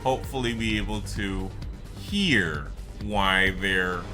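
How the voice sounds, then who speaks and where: A man's voice: low-pitched, slow, bright, forceful tone, faint music behind. A man giving casual, rambling, explanatory commentary on a video game. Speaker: gaming video host.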